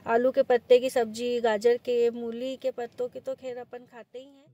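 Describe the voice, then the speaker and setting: A woman's voice: on the high side, level tone, normal speed, warm tone, dull audio. A woman from India narrating a casual travel vlog in a chatty, easygoing way while filming a village walk. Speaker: vlogger. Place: rural village outdoors, later in a car at night.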